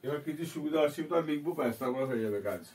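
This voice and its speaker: A man with a Bengali accent teaching in a deliberate, dictation-like style, repeating phrases and checking that students follow. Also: fairly high pitch, a little mellow, slight background noise. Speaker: English teacher. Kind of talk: dictation-style lesson, spelling out and translating phrases. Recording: distant microphone.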